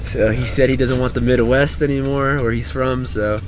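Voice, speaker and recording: A man's voice: medium pitch, fairly even intonation, moderate pace. A young man from Texas chatting casually to camera in a laid-back, slangy way as a vlogger. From Texas, United States. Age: young adult. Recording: clear car and traffic noise.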